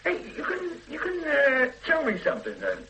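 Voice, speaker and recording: An actor playing an eager, cheeky detective sergeant in a radio drama. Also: male voice, extremely high pitch, moderate pace, heavily acted, rough recording. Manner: cheeky, lively, persuasive. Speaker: police sergeant. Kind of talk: 1970s radio drama acting.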